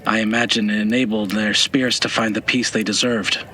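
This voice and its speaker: A man's voice: on the low side, level tone, brisk, bright, forceful tone. A man playing an eager, respectful pupil in a fantasy audio drama, attentive, curious and polite. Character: eager, respectful male pupil of a lore master.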